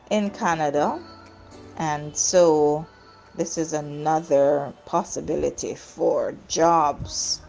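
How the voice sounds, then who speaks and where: A woman with a low voice narrates an informal travel promotion over music. She talks slowly and deliberately, breaking her sentences into short, halting phrases. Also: darkish tone, rough recording. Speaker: travel agent and video host.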